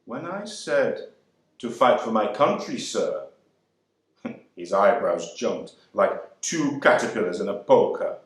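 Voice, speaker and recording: An actor playing a proud, wry young man serving in the air force, speaking with measured delivery and deliberate pauses. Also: on the low side, big pitch swings. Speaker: actor reading a monologue. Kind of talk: dramatic monologue reading. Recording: very echoey room.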